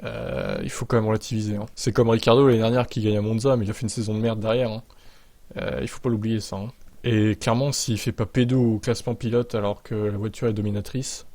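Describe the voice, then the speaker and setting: A man's voice: on the low side, fairly even intonation, fast talker, darkish tone, no room sound. A man hosting motorsport news, moving between narration and blunt, informal opinion. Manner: informal, sardonic, slangy. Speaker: motorsport commentator and video host.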